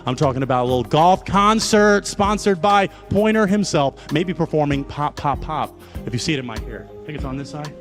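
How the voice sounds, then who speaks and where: A man hosting a live dating show in an excitable, playful, rambling way, speaking into a handheld mic. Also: on the high side, brisk, faint music behind.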